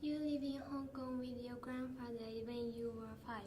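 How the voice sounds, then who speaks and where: A woman's voice: high-pitched, steady tone, moderate pace. A woman student in an English class, hesitantly repeating practice sentences in a fluency drill. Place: indoor classroom. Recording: distant mic, echoey noisy room.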